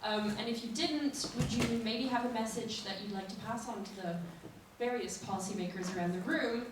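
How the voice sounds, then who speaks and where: A woman's voice: a little high, normal speed, noisy background. A woman moderating a live panel, talking in a friendly, casual way with frequent fillers. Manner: friendly, conversational, uses many fillers. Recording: echoey hall, voice from a distance.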